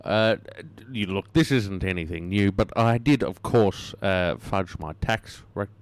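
A man's voice: on the low side, unhurried. A man co-hosting a comedy podcast, delivering absurd jokes completely straight-faced. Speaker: podcast co-host.

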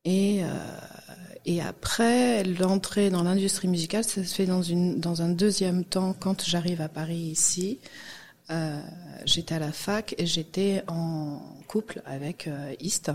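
A woman's voice: fairly low pitch, steady tone, measured pace, darkish tone. A middle-aged woman from Guadeloupe, a music industry guest, talking casually in an interview with many fillers and restarts.

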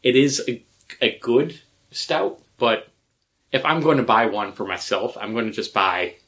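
A man's voice: mid-range voice, animated, unhurried, a bit bright. A man chatting casually as a podcast co-host, talking things over in a reflective way. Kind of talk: casual chat.

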